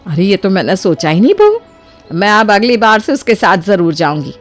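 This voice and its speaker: A woman voicing a trusting, soft-hearted older mother-in-law in a cartoon moral story, with an earnest, expressive delivery. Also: mid-range voice, normal speed, lightly acted. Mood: eager, kindly. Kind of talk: animated Hindi moral story dialogue. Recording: music behind the voice. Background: music.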